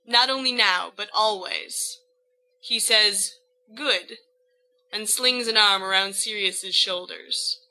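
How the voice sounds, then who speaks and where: A woman's voice: mid-range voice, takes it slowly, pushed and bright, low-quality recording. A woman narrating fiction as an audiobook reader, voicing the dialogue as she goes.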